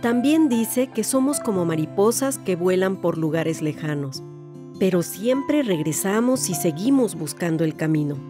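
Low, lyrical narrator over music. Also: female voice, lively intonation, unhurried. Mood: reflective. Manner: lyrical, reflective. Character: reflective village granddaughter, lyrical narrator. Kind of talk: poetic radio drama intro narration.

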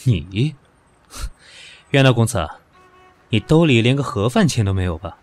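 A man's voice: medium pitch, sing-song, measured pace, darkish tone, no echo, faint music behind. An actor playing a composed, sardonic, stern professional man in an audio drama, dry and commanding. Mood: mocking. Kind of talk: Chinese audio drama acting.

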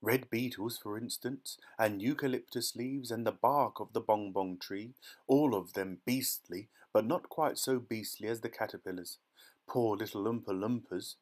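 A man voicing a flamboyant, excitable chocolate factory owner in a storybook, theatrical and gushing, with emphatic repeats. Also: lowish voice, normal speed, close to an everyday voice. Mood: dramatic, indignant.

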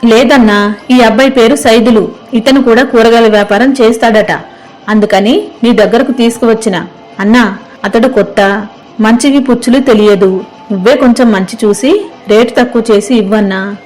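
A woman's voice: on the high side, normal speed, a little mellow, soft background music, natural voice, poor audio. A woman narrator playing a kindly, practical village woman who sells vegetables, in a moral story. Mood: requesting. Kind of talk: acted moral story dialogue.

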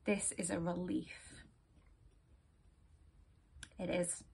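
Woman acting a husky, bitter, grieving young-love heroine. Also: fairly low pitch, level tone, drawn-out words, a little mellow, full character voice. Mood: brittle, forced relief. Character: distraught bitter woman in a couple, grieving, sarcastic. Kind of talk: dramatic stage monologue.

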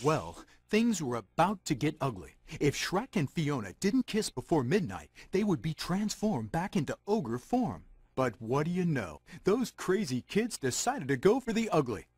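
A man's voice: on the high side, sing-song, normal speed, few pauses, darkish tone, slightly rough, no echo. A man voicing a wry, playful magic mirror who narrates a storybook in a video game.

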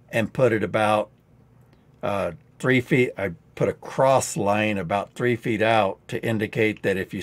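A man in his sixties hosting a live DIY training stream, going a bit hoarse, explaining tricks in a dry-humored, rambling way on a headset mic indoors. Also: lowish voice. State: getting hoarse. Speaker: DIY channel host. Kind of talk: live-stream teaching chat. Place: indoor studio set.

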